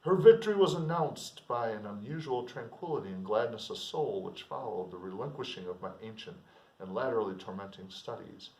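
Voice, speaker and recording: A man reading classic literature aloud like a teacher, with informal explanatory asides and an expressive manner. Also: fairly low pitch, fairly quick. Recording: roomy echo, distant microphone.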